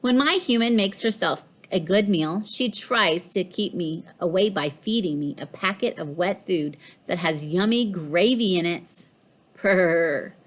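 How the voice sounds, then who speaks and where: A woman's voice: fairly low pitch, measured pace. A woman voicing a smug, mischievous pet cat in a storybook, with a boastful, emphatic delivery. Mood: haughty. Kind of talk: storybook read-aloud.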